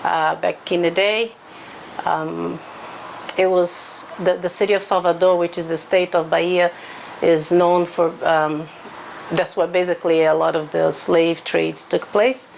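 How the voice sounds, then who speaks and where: A woman from Brazil, a guest cook who talks through a recipe in a relaxed way, laughing easily. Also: on the low side, unhurried, vocal fry, slight background noise, rough recording. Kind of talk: casual cooking chat. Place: home kitchen.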